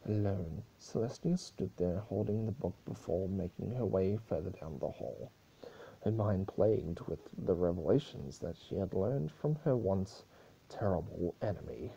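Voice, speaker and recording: Male story reader, very dark gravelly tone, dramatic, halting, muffled recording. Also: deep voice, lively intonation. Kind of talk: dramatic scripted narration.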